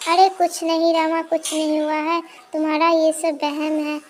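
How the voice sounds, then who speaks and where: A woman voicing a calm husband who brushes off his wife's fears. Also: high voice, a little slow. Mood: dismissive. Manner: brushing off worries.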